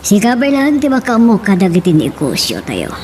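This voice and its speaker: A woman's voice: medium pitch, wildly swooping pitch, moderate pace, a little mellow, a little hiss or hum. An actress with an Ilocano accent playing a stubborn, business-minded older woman in a radio drama.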